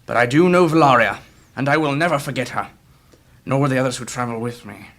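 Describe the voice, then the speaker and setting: Old male adventurer for fantasy audio drama, played by a man: emotional, haunted, grave, medium register, halting delivery. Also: medium pitch, fairly bright tone, natural voice. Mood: earnest, pained.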